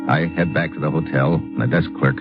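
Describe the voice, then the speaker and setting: A very deep-voiced American tough guy carries a vintage detective serial. He is brisk and hard-boiled, speaking in clipped sentences. The old recording is dull, with loud music behind it. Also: steady tone. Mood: tense, hard-boiled. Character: tough, brisk American secret agent, grown man. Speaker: lead and first-person narrator. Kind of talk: 1950s radio detective acting, scripted narration.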